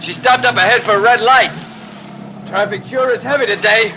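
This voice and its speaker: An actor in a 1930s radio serial playing a nervous, eager working-class cab driver with slangy street talk. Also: male voice, high-pitched, normal speed, sharp tone, poor audio. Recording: hissy old 1930s radio recording.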